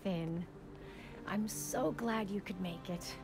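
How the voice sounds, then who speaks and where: An affectionate mom. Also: female voice, mid-range voice, takes it slowly, over music. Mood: warm. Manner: affectionate, motherly, slips into Spanish endearments. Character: warm, caring middle-aged mother. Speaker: game character.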